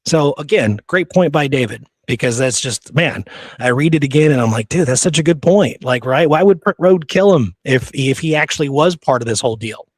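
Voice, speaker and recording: A man's voice: mid-range voice, lively intonation, a little fast, breathy edge, no echo. A man hosting a history podcast, talking casually and emphatically and often checking for agreement. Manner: informal, emphatic, seeks agreement with "right?".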